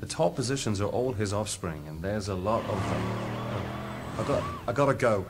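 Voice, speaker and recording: A man's voice: on the low side, expressive, moderate pace, loud music behind the voice. A man playing a serious, sympathetic agent in a video game, earnest and matter-of-fact. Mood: businesslike. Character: serious, sympathetic male agent allied with a vampire hunter. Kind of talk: video game cutscene acting.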